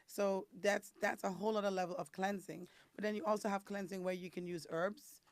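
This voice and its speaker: A woman from the Caribbean with a raspy, hoarse voice, talking as a guest and explaining things step by step with frequent ums. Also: medium pitch, steady tone, a little fast, no room sound. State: hoarse from detox. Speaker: guest, detox specialist. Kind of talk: casual interview talk.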